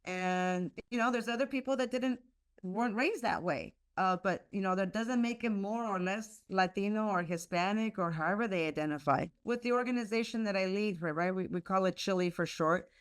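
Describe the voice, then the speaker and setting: A woman's voice: mid-range voice, moderate pace, smooth. A woman who grew up speaking Spanish, answering interview questions earnestly over a video call, with many fillers and restarts. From United States. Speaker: leader of a leadership institute, guest.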